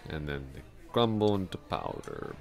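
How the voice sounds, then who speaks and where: A man playing a drunk, lovestruck coworker in an intimate ASMR roleplay, rambling bashfully, halting, repeating himself and putting himself down. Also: rumbling low, wildly swooping pitch, creaky, over music, exaggerated voice. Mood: dreamy, flirtatious.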